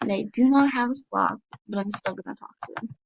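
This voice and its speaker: A tired woman with a dry throat, hosting a casual gaming video in a soft, hesitant, apologetic way with many pauses and ums. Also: mid-range voice, vocal fry, noisy background. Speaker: gaming channel host. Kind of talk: casual game commentary. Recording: dull lo-fi mic.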